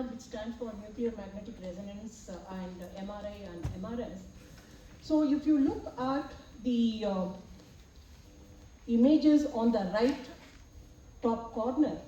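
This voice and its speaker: A woman's voice: on the high side, dark tone, lo-fi sound. A middle-aged woman with an Indian English accent giving an academic talk, emphatic and asking rhetorical questions. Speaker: lecturer, researcher. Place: lecture hall. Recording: echoey hall, far from mic, faint noise.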